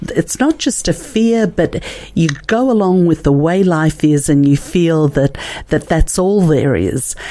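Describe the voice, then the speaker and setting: A woman hosting a conversational interview, talking in an unhurried, complimentary way. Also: lowish voice, dark tone, dry recording. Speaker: host.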